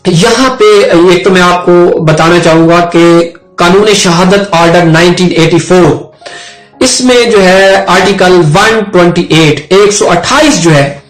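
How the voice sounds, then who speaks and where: A Pakistani man with a distinctly high voice explains law in an informal, storytelling way. He restates his points as he goes. The room echoes noticeably and music plays underneath. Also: unhurried. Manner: conversational, repeats and rephrases points. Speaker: advocate and legal educator. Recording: roomy echo, music underneath.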